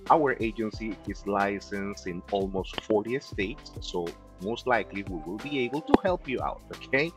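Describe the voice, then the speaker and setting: A man's voice: fairly low pitch, animated, moderate pace, few pauses, over music. A man from Peru with a Peruvian Spanish accent, presenting an educational class as an insurance agent and often checking in with "okay?". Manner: friendly, often adds "okay?" and restarts phrases.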